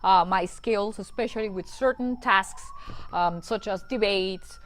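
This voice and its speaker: A woman's voice: mid-range voice, measured pace, a little hiss or hum. Spanish-native woman news anchor, testimonial. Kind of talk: video testimonial.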